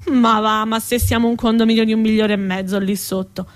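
Woman acting a proud lady rat, high voice, ranting, sarcastic, foul-mouthed, comic stage monologue. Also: steady tone, a little fast, soft background music, close to an everyday voice. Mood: disgusted, scornful.

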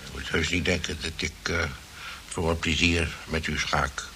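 Deep sharp-voiced grumpy chess rival, formal, blustery, bit hissy old radio recording. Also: male voice, fairly even intonation, a little slow, full character voice. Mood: testy, dry. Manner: formal, hesitant, repeating words, blustering. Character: peevish, boastful male chess player, needling his rival. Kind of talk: radio play acting in Dutch.

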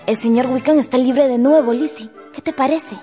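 An actress playing an excitable, gossipy young sister in a period romance radio drama, chatting eagerly. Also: female voice, high voice, moderate pace, faint music behind, natural voice. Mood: excited. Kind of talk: Spanish-language radio drama acting.

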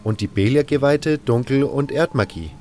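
A man's voice: mid-range voice, moderate pace, a little mellow, faint music behind, voice pushed up, lightly acted. A man voicing an eager, bookish young fire mage who lectures earnestly in a wordy way, in a fantasy audio drama. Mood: eager lecturing.

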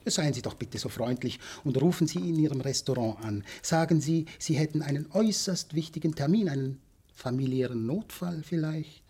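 An actor playing a ruthless, polite businessman villain in a German radio drama, speaking with measured, pompous courtesy that veils a threat. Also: a little high, lively intonation, warm tone, slight background noise. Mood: politely menacing. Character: suave ruthless businessman villain, polite, menacing, scientifically zealous. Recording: studio radio drama with music behind.

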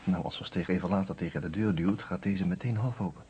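A man's voice: low-pitched, fast, warm tone. A man with a Netherlandic Dutch accent narrating a radio play from a script, telling it with suspense. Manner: suspenseful storytelling. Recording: muffled, slightly noisy old radio recording.

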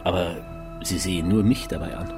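An actor playing a blunt, matter-of-fact police detective in a naturalistic radio crime drama, terse and direct. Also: male voice, sits low, fairly even intonation, moderate pace, loud music behind the voice, natural voice. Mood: challenging.

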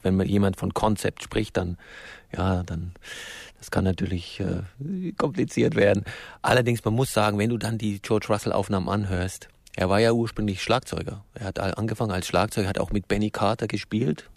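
Gravelly, low-voiced man explaining. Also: no echo. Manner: halting, rephrasing often as he explains. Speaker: interview guest, music expert. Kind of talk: spontaneous explanatory conversation.

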